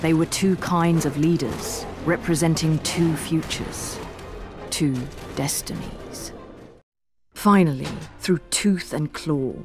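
A man reading scripted, storybook-like narration in a solemn, measured manner. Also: on the high side, music in the background.

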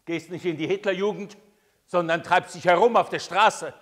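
An actor playing a harsh older man who berates a teenage boy with contempt, his scolding rising to shouts, in a radio drama. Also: sits high, steady tone, moderate pace, big-room sound. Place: studio with concrete walls. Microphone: binaural dummy head.

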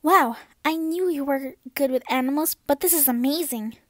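Woman acting anxious young female cartoon pony, high voice, earnest, emphatic, dry close sound. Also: normal speed, a bit bright, no room sound. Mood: brisk, earnest. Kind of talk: amateur cartoon voice impression.

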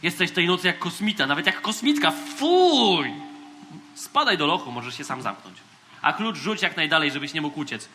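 A man's voice: a little high, sing-song, normal speed. A man acting a bossy, pompous commander of a space fleet in a theatrical audio drama. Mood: stern. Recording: loud music under the voice.

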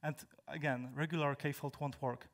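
A young man from Poland, a native Polish speaker, giving a technical talk on stage in a large hall, halting with many fillers and restarts. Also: on the high side, fairly even intonation. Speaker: conference speaker.

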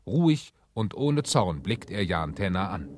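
Male narrator, lowish register, measured scripted storytelling for radio drama. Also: very animated, a little mellow. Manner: measured storytelling, clearly enunciated.

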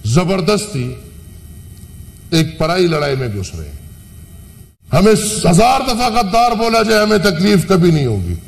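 Senator, male, impassioned rhetorical speech, slow and gravelly, lively high pitch, chamber reverb. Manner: impassioned, rhetorical, with long pauses. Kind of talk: parliamentary speech. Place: large parliament chamber. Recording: parliament broadcast with hall reverb.

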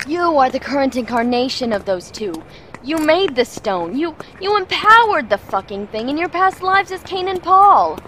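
An actress playing a headstrong, short-tempered mentor in a fantasy audio drama, earnest and insistent. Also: female voice, high voice, sing-song, normal speed, a bit bright, slight background noise. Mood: exasperated.

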